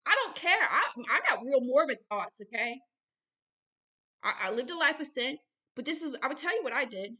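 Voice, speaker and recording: Emphatic, bright-voiced woman preaching. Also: high voice, animated, normal speed. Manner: emphatic, blunt, repeats phrases for stress. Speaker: ministry founder and host reading and commenting on a book.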